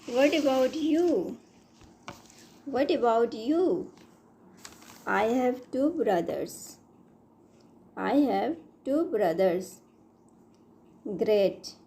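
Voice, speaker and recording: A woman reading short everyday English phrases for learners, saying each line twice, slowly and clearly. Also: on the high side, lively intonation, warm tone, slight background noise. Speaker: English teacher. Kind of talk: slow repeated phrase drills for learners.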